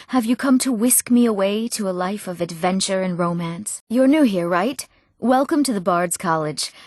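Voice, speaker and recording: Eager young woman , played by an actress, for fantasy game dialogue; earnest, medium pitch, dry studio sound. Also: no room sound, lightly acted. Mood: wistful, eager. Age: young adult. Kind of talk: video game character dialogue.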